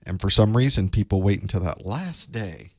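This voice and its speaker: Man, slightly raspy from a chest cold, lowish voice, rambling live-stream host chat, dry close sound. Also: lively intonation, normal speed, no echo. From United States. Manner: chatty, rambling, self-deprecating asides. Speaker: online course instructor hosting live study group.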